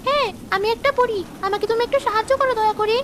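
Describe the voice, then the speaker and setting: A woman voicing a tiny, gentle flower fairy in a children's cartoon, sweet and appealing. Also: extremely high pitch, fast, a little hiss or hum. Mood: pleading. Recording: music under the voice.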